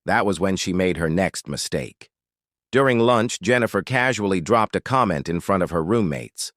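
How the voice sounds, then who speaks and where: Animated Nigerian storyteller, a dry-sounding man. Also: sits low, moderate pace, dry recording. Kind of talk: scripted dramatic story narration.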